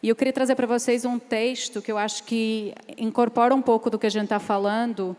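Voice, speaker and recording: A woman from Portugal, speaking on a seminar panel and reading a passage aloud in a measured, careful way. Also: a little high, fairly even intonation, a little mellow, clean tone. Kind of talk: seminar talk with a read passage. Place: large event hall. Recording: reverberant hall.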